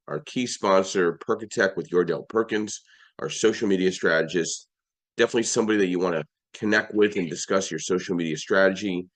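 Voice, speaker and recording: A man hosting a live audio-room podcast, his voice a little hoarse, running through sponsors and lists in an upbeat way with frequent restarts. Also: low-pitched. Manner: upbeat, list-like delivery with restarts. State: slightly hoarse. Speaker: podcast host.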